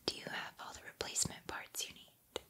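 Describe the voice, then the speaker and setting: A woman voicing a gentle, encouraging combat training robot in a soft, breathy, measured ASMR roleplay whisper. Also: natural voice. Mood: quiet, curious.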